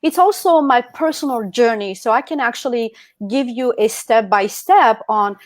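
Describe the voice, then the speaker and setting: A woman's voice: a little high, moderate pace, dry recording. A middle-aged woman from Algeria speaking as a guest presenter in a motivational presentation. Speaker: guest speaker at an online e-commerce summit. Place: indoors on an online video call.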